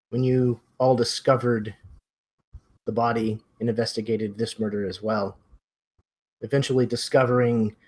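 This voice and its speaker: A man narrating a mystery role-playing game as game master, in measured, dramatic phrases. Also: mid-range voice, a bit bright, breathy edge.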